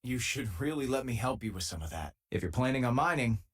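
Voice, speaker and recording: A man playing a loyal, easygoing former miner and spaceship crewmate in a video game, with a husky, casual, wry delivery. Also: mid-range voice, animated, brisk, a bit bright. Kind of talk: video game companion dialogue.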